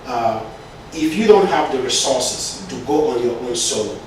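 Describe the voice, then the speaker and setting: A man's voice: medium pitch, animated, moderate pace, a little mellow, a little hiss or hum. Guy from cameroon in an interview, lots of uhs and "right?", sounds far off in a really echoey room. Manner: hesitant, many fillers and restarts, frequent "right?". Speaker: IT consultant and entrepreneur. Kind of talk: interview answers.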